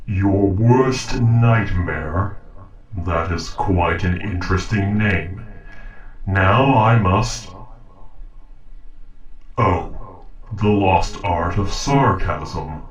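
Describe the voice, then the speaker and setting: Man playing a slow, deep, formal robot. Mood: dry, stern. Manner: formal, measured, precise diction. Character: polite, logical humanoid robot interrogating a captive human. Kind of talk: scripted audio roleplay monologue. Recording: roomy echo, distant from mic.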